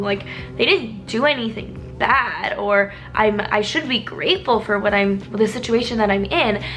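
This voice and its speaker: A woman's voice: fairly high pitch, fairly bright tone. A woman in her twenties chatting casually to a small vlog camera indoors, rambling with lots of likes and restarts. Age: 20s. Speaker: beauty vlogger. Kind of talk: casual talking-head vlog. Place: indoors, with street noise outside.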